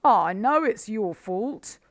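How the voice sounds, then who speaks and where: A woman's voice: high voice, big pitch swings, takes it slowly, no echo, studio quality, voice pushed up, exaggerated voice. A woman voicing a desperate, anguished old male badger in a storybook. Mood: furious. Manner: anguished, pleading, loud.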